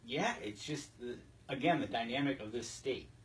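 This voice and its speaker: A man's voice: mid-range voice, moderate pace. A man co-hosting a casual hunting podcast, agreeable and conversational. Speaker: podcast co-host. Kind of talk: casual hunting talk show chat. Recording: distant mic, noticeable background noise.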